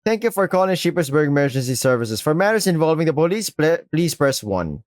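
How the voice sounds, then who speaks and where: A man's voice: fairly high pitch, big pitch swings, fairly quick, no room sound, clean studio sound. A man voicing an impassive automated phone menu with formal, scripted announcements. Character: impassive automated emergency phone line recording.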